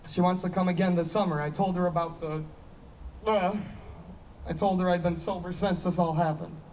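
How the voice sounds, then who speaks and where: A man's voice: high voice, steady tone, normal speed, darkish tone, lightly acted. A man in his thirties in a staged play reading, playing a troubled, apologetic, self-doubting heavy drinker trying to stay sober. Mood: uneasy, doubting. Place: stage before a painted block wall. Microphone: handheld microphone. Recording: distant stage pickup with ambient noise.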